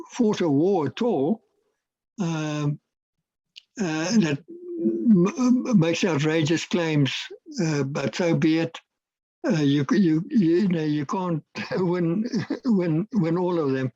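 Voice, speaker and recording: An older man with a South African accent, Afrikaans his first language, talks slowly and haltingly, full of fillers and restarts. His voice sits a little high for a man. The sound is dry and dull, like a video call. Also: mellow, no room sound. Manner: hesitant, many fillers and restarts. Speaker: guest, retired air force officer. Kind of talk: casual interview talk.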